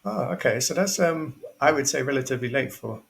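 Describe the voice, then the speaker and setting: A man's voice: medium pitch, expressive, brisk, vocal fry. Young man hosting a podcast interview. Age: 20s. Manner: encouraging, asks long questions. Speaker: podcast host.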